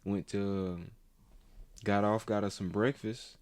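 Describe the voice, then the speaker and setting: A man's voice: fairly low pitch, steady tone, measured pace, no room sound. A man in his twenties hosting a casual podcast chat, rambling and swearing freely with lots of fillers and restarts. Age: 20s. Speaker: podcast host.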